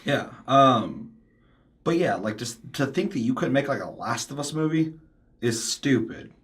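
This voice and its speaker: A man's voice: mid-range voice, expressive, a little slow, fairly bright tone. A man hosting a casual panel discussion, ranting emphatically with heavy swearing and slipping into mimicked quotes of other people. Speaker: podcast host.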